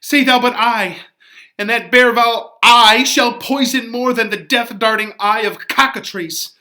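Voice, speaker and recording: A man's voice: very high-pitched, measured pace, sharp tone, exaggerated voice. A man voicing an anguished, passionate young Shakespearean lady, declaiming verse theatrically with fierce emotion. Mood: anguished pleading.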